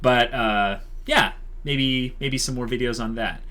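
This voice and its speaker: Young adult man, medium pitch, casual co-host, chatty announcement, filler-heavy phrasing. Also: unhurried, bright, forceful tone. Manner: conversational, hedging with many likes and you-knows. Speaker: channel co-host. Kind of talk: casual channel announcement to viewers.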